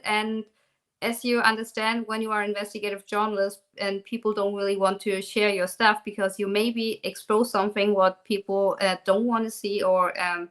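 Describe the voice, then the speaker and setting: German-accented woman, halting speech. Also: mid-range voice, a bit bright. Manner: halting, many ums and restarts. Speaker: guest, documentary maker. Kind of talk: live online conversation.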